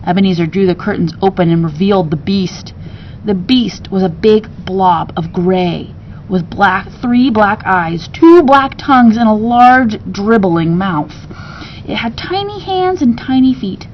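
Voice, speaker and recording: A woman narrates a children's book expressively, in a medium-pitched voice. She reads as a storyteller to young listeners. The recording is a little dull, with faint rumbling noise behind her. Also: lively intonation, unhurried. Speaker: story reader.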